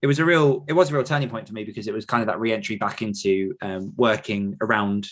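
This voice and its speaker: Male podcast guest, lower-pitched voice, conversational delivery, thoughtful and explanatory. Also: quick pace, a bit bright. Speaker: podcast guest, author and writing coach. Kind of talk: conversational interview answers.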